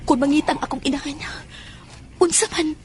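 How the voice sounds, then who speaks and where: Horror radio play: actress as anxious woman, high voice, questioning, crickets and noise behind. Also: fairly even intonation, a little fast, natural voice. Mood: uneasy. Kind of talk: horror audio drama acting. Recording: noisy, insects chirping behind.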